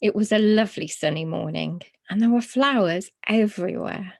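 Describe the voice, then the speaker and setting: A woman's voice: mid-range voice, moderate pace, gravelly, a little mellow. A woman narrating a children's storybook as a story reader.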